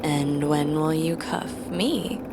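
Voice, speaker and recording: An actress playing a teasing, intimate woman in a noir video game, with a soft, creaky delivery. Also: sits low, slow pace, very noisy. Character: teasing, intimate woman close to a troubled detective. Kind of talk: cinematic noir game acting. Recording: music behind the voice.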